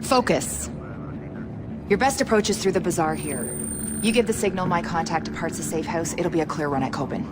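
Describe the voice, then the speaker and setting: An actress playing a stern, no-nonsense intelligence operations chief, briefing crisply in a game cutscene. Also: female voice, lowish voice, a little fast, loud music behind the voice, close to an everyday voice. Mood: firm. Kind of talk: dramatic game cutscene acting.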